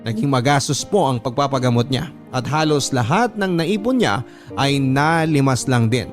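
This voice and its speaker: A man's voice: medium pitch, expressive, normal speed. A Filipino man reading a heartfelt first-person story as a radio drama narrator. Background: soft music.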